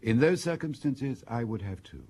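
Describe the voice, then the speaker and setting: Male actor as curious confidant, husky, mid pitch, lively intonation, sympathetic, radio drama. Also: moderate pace, close to an everyday voice.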